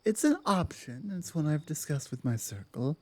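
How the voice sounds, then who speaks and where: A woman voicing a dominant but softening werewolf pack leader in an intimate ASMR roleplay, speaking in measured, deliberate phrases with weighty pauses. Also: deep voice, very animated. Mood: earnest, explaining. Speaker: audio roleplay performer.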